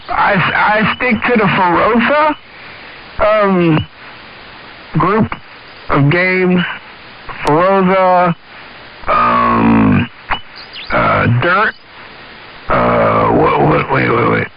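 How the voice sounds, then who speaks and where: Slow, creaky American man on CB radio. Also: fairly high pitch, wildly swooping pitch. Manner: emphatic, slangy, swears freely. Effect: two-way radio. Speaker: CB radio operator. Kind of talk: casual chat about video games. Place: on-air CB radio transmission. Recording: dull CB radio with hiss.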